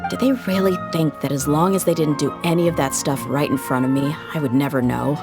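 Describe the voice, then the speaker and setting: An actress playing a tough, laid-back desert mercenary in a video game, speaking in a casual, candid, world-weary way. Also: female voice, low-pitched, steady tone, fairly quick. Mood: bitter. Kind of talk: video game character acting, conversational storytelling. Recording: studio game dialogue over loud music. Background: background game music.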